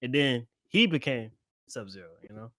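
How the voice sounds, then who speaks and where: A man's voice: mid-range voice, animated, moderate pace, bright, forceful tone. A man co-hosting a podcast, chatting casually and informally with plenty of slang. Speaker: podcast co-host. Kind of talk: casual panel chat about games and films.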